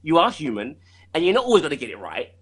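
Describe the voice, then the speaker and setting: A man's voice: on the high side, huge pitch swings, quick pace, a bit bright, husky. A man talking casually as an interview guest, rambling and repeating himself, laughing often. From United Kingdom.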